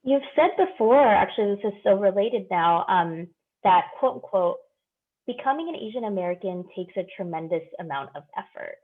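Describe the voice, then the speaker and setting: A woman's voice: medium pitch, expressive, normal speed. A woman host carefully framing a question, quoting her guest, over a video call. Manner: careful, quotes guest before asking. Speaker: interviewer, host. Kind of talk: interview question. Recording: tinny phone-like video call audio.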